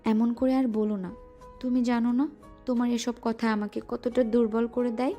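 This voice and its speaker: A woman's voice: on the high side, level tone, normal speed, dark tone, close to an everyday voice. A female voice actor playing a shy, teasing young wife in an audio romance drama. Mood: scolding. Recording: music bed underneath.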